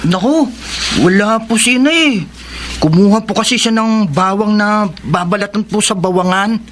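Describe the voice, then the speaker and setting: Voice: medium pitch, normal speed, a bit bright, slight background noise, lightly acted. An actor with a Filipino accent playing a hungry, childlike younger sibling in a radio drama. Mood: polite.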